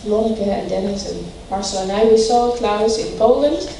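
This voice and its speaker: A woman hosting an event, introducing the speaker in a halting way with many fillers. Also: medium pitch, creaky, background noise. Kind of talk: speech introduction. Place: large hall. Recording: echoey hall from far off.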